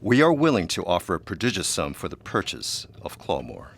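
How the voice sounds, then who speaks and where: A deep, gravelly, suave schemer. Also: male voice, wildly swooping pitch, heavily acted. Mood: smooth, calculating. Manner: formal, measured, smoothly insinuating. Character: suave, calculating wealthy man with predatory ambitions. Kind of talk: period audio drama acting.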